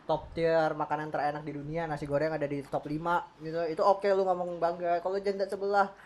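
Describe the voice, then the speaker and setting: A woman's voice: low-pitched, level tone, fairly quick, a little mellow. A young woman with a Jakarta accent bantering as a podcast host, argumentative, teasing and slangy. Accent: Jakarta Indonesian. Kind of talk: casual bantering group chat.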